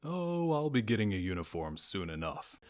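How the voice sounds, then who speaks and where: A man playing a calm, menacing shapeshifter who steals faces, speaking slowly and musingly in an audio drama. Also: lowish voice. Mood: calm menace. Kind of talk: fan audio drama acting. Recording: loud music under the voice.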